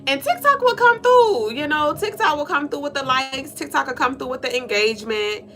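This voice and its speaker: A woman co-hosting a casual livestream, congested and nasal, lively and playful as she greets viewers by name. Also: high-pitched, moderate pace. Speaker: livestream co-host.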